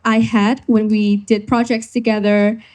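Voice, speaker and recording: A nineteen-year-old woman introducing herself to a workshop audience, quick and informal. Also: medium pitch, little pitch movement, a little mellow. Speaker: workshop speaker. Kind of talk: presenting to a live audience.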